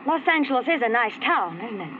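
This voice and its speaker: An actress playing a frightened young woman in a 1940s radio drama, halting and pleading. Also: on the high side, big pitch swings, slight background noise. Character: frightened young woman, Francis, fleeing stepfather. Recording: tinny old radio broadcast.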